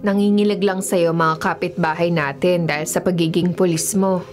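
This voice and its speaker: A woman's voice: fairly low pitch, fairly even intonation, moderate pace, soft background music, close to an everyday voice. An actress playing a nagging, worried policeman's wife in a Filipino radio drama, speaking reproachfully.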